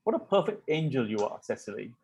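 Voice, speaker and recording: A man reading the part of a smitten, ardent young Victorian gentleman in an amateur table read of a stage comedy, wooing earnestly. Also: mid-range voice, big pitch swings, fast, vocal fry. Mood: adoring.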